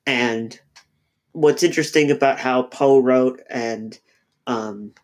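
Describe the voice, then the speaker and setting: A man co-hosting a podcast while he has a cold, sounding a little nasal, talking in a halting, reflective way with lots of fillers and restarts. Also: medium pitch. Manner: reflective, thinking aloud. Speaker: podcast co-host. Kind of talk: casual podcast discussion.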